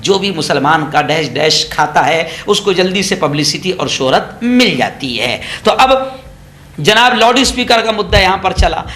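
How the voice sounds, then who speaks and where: A man's voice: on the high side, a bit bright. A man giving opinionated political news commentary, sarcastic and mocking, repeating phrases for emphasis.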